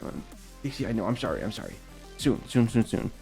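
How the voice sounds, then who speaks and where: A young man with a slight natural rasp and a lowish voice chats casually with his stream audience. He rambles loosely, full of fillers and restarts. Soft music plays faintly behind him. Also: wildly swooping pitch, a little fast. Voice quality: slightly raspy. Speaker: streamer answering viewer questions. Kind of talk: casual livestream Q&A chat.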